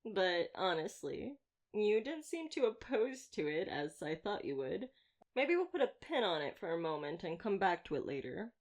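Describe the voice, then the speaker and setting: A woman acts out a young female vampire slayer with a low-pitched voice. She is wry and theatrical, talking straight to the listener in an ASMR roleplay. Her manner is chatty and teasing, with a playful menace. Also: big pitch swings, moderate pace.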